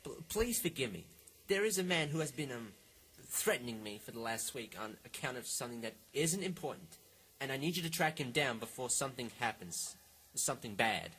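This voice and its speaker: A man's voice: medium pitch, lively intonation, a little fast, bright, forceful tone, a little hiss or hum, poor audio. A man playing an anxious, hesitant client who stammers as he pleads for help in a radio drama. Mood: anxious, pleading.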